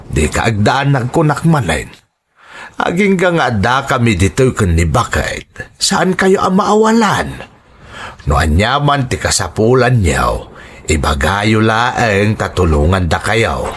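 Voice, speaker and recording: Man playing radio drama uncle, Ilocano accent, lowish voice, expressive intonation, earnest, measured, reassuring family counselor. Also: a little hiss or hum. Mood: supportive.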